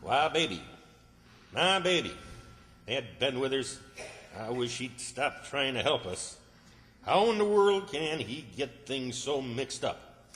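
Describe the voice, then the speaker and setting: A man's voice: mid-range voice, big pitch swings, slow, pauses often, fairly bright tone. Man playing a blustering rustic fellow in an echoey hall. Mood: exasperated. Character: exasperated, bossy small-town man, rustic and blustering. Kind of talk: old-time radio comedy acting by amateur players. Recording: echoey hall with long reverb.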